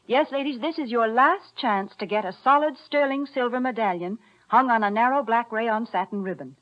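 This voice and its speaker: A woman's voice: a little high, normal speed. A woman co-hosting as sponsor's spokeswoman with prim, polished, chatty 1940s radio patter. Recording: muffled old radio broadcast.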